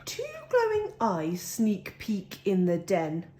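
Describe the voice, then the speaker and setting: A woman reads a children's storybook aloud at a very slow pace. Her phrasing is drawn out and expressive, with dramatic weight on the rhymes. Her pitch sits in a middle range for a woman. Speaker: storybook reader. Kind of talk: expressive children's picture-book read-aloud.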